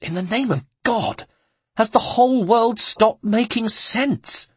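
A man voicing a terrified young monk who pleads and sobs in a high, strained voice. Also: slow, husky, dry recording, exaggerated voice. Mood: indignant. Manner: high, wailing and begging.

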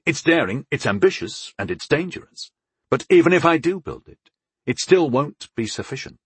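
A man's voice: fairly high pitch, sing-song, moderate pace, husky, no echo, pitched above the performer's own voice, heavily acted. A man playing a sarcastic, daring wizard in a fantasy audiobook, wry and musing with eager recklessness. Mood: intense.

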